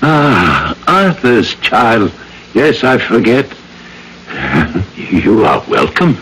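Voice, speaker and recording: A broken, fearful older man in an old radio play, low and slow. His plaintive, halting speech swings widely in pitch. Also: sing-song, fairly bright tone, exaggerated voice. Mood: weary, bewildered. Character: broken, weary former exile, childlike, fearful older man. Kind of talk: old-time radio drama acting. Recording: noisy old radio drama recording.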